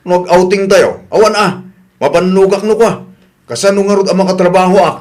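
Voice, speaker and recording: A man's voice: high voice, brisk, a little mellow, natural voice. A man with an Ilocano Filipino accent playing a reluctant, grumbling husband in a radio comedy drama. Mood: irritated. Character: reluctant, grumbling husband worn down by wife and mother-in-law.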